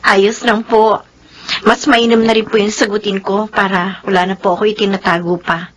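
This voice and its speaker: A woman's voice: medium pitch, flat delivery, moderate pace, a bit bright, lightly acted, poor audio. An actress with a Filipino accent playing a humble, polite young single mother in a radio drama. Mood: hesitant, subdued. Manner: polite, deferential.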